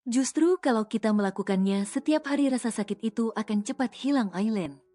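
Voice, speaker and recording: A woman's voice: on the high side, a little fast, close to an everyday voice. A woman voicing a domineering young male mafia boss who speaks to his wife in a coaxing, insistent way. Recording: soft music underneath.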